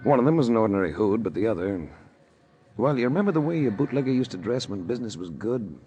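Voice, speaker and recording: An actor playing a tough, wisecracking private detective who narrates in a dry, hard-boiled 1940s radio style. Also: male voice, fairly low pitch, very animated, quick pace, warm tone, soft background music. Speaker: narrator and lead character. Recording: hissy old radio broadcast.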